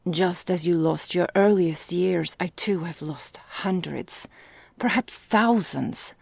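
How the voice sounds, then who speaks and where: A woman playing an ancient, elegant vampire mistress in an ASMR roleplay, speaking formally and deliberately in a breathy, gravelly, whispery voice. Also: fairly low pitch, a little mellow, no echo, heavily acted. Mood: brooding, haunted. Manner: slow, formal, theatrical, deliberate.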